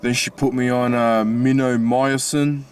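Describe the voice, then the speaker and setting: An Australian man with a slightly mixed accent from his Italian family, talking casually from inside his car with many pauses and restarts. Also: medium pitch, little pitch movement, smooth. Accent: Australian with Italian-family influence. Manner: halting, many fillers and restarts. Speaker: vlogger. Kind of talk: casual personal vlog.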